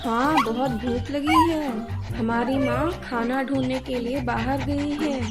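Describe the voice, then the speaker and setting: A woman's voice: sits high, steady tone, a little slow, pitched above the performer's own voice. A woman voicing a sweet, polite, pleading little puppy in a children's cartoon story. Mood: sweet, grateful. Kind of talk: cartoon moral story acting. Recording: loud music behind the voice.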